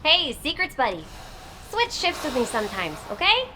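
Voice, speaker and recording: A woman's voice: high-pitched, huge pitch swings, normal speed, background noise. A woman playing a bubbly, impulsive and sentimental young sci-fi soldier in a video game, chirpy and playful. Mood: playful, whiny.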